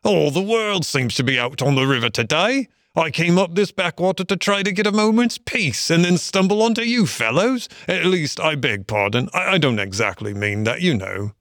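Guy doing a chatty storybook otter, male, pitched up high, breezy and blunt, bit of a gossip. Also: lively intonation, moderate pace, hardly any pauses, fairly bright tone, no echo, studio quality. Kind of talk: animal storybook character voice.

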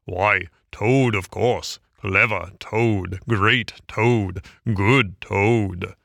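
A man's voice: fairly low pitch, steady tone, extremely slow, vocal fry, a bit bright, no echo, studio quality, exaggerated voice. A man voicing a boastful, reckless male toad in a storybook reading, gloating and self-admiring.

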